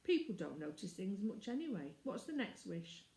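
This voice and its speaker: A woman's voice: mid-range voice, big pitch swings, moderate pace, gravelly, warm tone. Storybook creature played by a woman: crotchety male sand fairy, offhand and brusque, roomy sound. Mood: brisk. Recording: roomy indoor echo.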